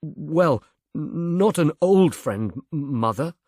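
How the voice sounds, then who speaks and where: A man's voice: a little high, lively intonation, slow, darkish tone, no echo, studio quality, voice pushed up. A man voicing an eager, dim-witted grown son who stammers heavily and blunders on earnestly in an audiobook. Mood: anxious, helpful. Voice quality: heavy stammer.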